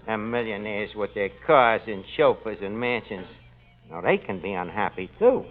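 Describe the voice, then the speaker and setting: An actor playing a boastful tavern manager in a 1940s radio comedy, delivering folksy, pompous wisecracks and mangled words. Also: male voice, fairly low pitch, animated, normal speed, soft background music, natural voice. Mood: philosophizing. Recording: muffled old radio broadcast with hiss.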